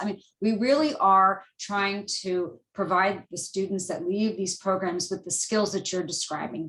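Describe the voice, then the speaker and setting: A woman's voice: medium pitch, fairly bright tone. A woman professor speaking off the cuff in a panel discussion, earnest and often breaking off to rephrase herself. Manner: earnest, rambling, self-interrupting. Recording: roomy, echoey video-call sound.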